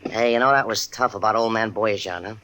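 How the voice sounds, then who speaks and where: An actor playing a sly, needling pool-room hustler in slangy, taunting radio suspense style. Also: male voice, fairly low pitch, fairly even intonation, brisk, sharp tone, a little hiss or hum. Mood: casual, probing.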